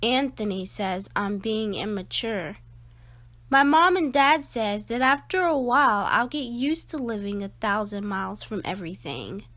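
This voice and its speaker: A woman reading a children's picture book aloud expressively, with emphatic, deliberate phrasing. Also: mid-range voice, expressive, fairly bright tone. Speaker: read-aloud storyteller.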